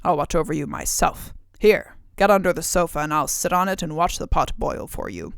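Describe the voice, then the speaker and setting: A woman voicing a greedy, gruff man who eats children, speaking in an old-fashioned country dialect. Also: sits low, animated, a little fast, no echo, clean studio sound. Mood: menacing.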